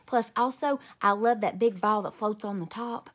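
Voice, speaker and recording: A stubborn, excitable little girl from a children's storybook, voiced by a woman reader. She talks in emphatic, childish phrases, as if every word matters. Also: mid-range voice, normal speed, lightly acted. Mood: excited, eager.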